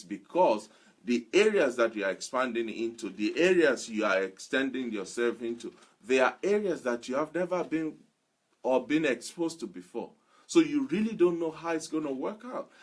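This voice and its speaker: A man giving a motivational sermon, speaking emphatically and repeating phrases deliberately for weight. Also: mid-range voice, breathy edge. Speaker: motivational preacher.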